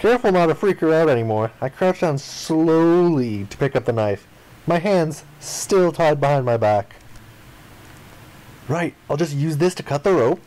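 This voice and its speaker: A man's voice: a little high, lively intonation, normal speed, a little mellow, poor audio. A man reading visual novel narration and dialogue aloud, acting out the lines as he goes. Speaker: gaming video player reading aloud.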